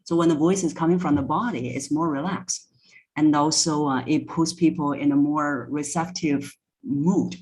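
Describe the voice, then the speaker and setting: A woman's voice: deep voice, fairly even intonation, normal speed, darkish tone, rough recording. A woman speaking as a voice coach in an interview, explaining things step by step with examples. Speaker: voice coach, podcast guest.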